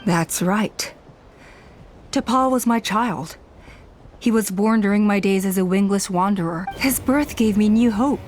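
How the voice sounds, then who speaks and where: A woman's voice: mid-range voice, measured pace, a little mellow, close to an everyday voice. An actress playing a proud clan elder and bereaved mother in a video game, confessing wistfully and dramatically. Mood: wistful, regretful.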